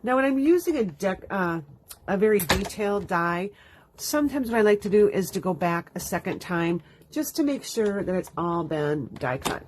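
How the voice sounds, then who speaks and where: A woman's voice: medium pitch, lively intonation, normal speed, background noise. Stuffy-nosed woman demonstrating crafts. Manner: chatty, explains each step as she works. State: stuffy from allergies, sniffling. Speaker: crafting host. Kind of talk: live card-making demonstration. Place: home craft room. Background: dog dragging its bed around.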